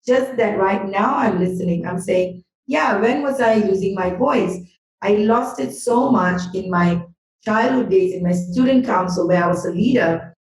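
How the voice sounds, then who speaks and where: A woman speaks as a podcast guest, earnest and emphatic as she reflects on her own experience. Her voice sits at a middle pitch and sounds roomy, picked up from some distance away from the mic. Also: moderate pace, a little mellow. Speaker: podcast guest, business school owner and healer. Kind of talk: reflective personal sharing.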